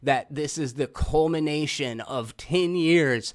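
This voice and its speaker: Casual, higher-voiced man hosting. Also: slow pace. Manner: informal, swearing. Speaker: host of a movie review show. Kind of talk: casual chatty movie discussion.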